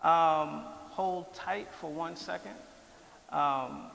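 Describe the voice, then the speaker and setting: A man's voice: on the high side, very slow, creaky, a bit bright. A man moderating a public panel, politely steering the audience between questions. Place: large hall with an audience. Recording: reverberant hall, faint crowd noise.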